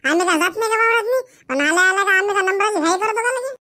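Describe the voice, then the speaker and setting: A woman's voice: very high voice, animated, very fast, sharp tone, no room sound, heavily acted. A woman voicing a quarrelsome village housewife in a comic cartoon, scolding and arguing in a rural Varhadi Marathi accent. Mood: indignant scolding. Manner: scolding, argumentative. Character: quarrelsome village housewife complaining at the water tap.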